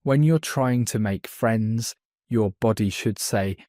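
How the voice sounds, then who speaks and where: A man teaching a beginner English lesson, speaking slowly and clearly so learners can follow. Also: fairly low pitch, mellow, no room sound, clean studio sound. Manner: slow, clear, deliberate delivery for learners. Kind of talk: slow scripted lesson narration.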